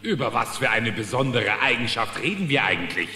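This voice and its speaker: An actor voicing a brash, quick-witted bear pilot who blusters and bluffs in a children's cartoon radio play. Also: male voice, medium pitch, big pitch swings, pushed and bright, a little hiss or hum, full character voice. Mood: puzzled. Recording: echoey hall reverb, faint music.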